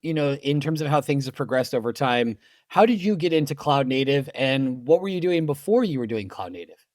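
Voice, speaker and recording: A man's voice: medium pitch, expressive, a little fast, a little mellow, dry recording. Easygoing male podcast host, dry sound. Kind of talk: interview questions.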